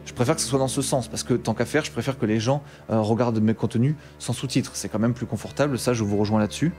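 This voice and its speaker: A man with a Bordeaux French accent talks casually to camera as a tech YouTuber. He is animated and slangy, with a mildly exasperated tone. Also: medium pitch, fast, soft background music.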